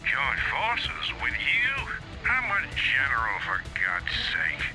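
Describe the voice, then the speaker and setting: An actor playing a gruff, proud older military general with a gravelly, blustery drawl, heard over a radio in a game. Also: male voice, medium pitch, huge pitch swings, slow, over music, rough recording. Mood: indignant. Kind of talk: dramatic video game cutscene acting.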